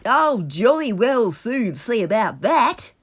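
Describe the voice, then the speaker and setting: A woman voicing a boastful, excitable, melodramatic male toad from a children's storybook, in an exclaiming manner. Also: a little high, takes it slowly, breathy edge, dry recording, pitched above the performer's own voice, heavily acted. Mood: defiant. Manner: dramatic, exclaiming delivery. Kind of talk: children's storybook character reading.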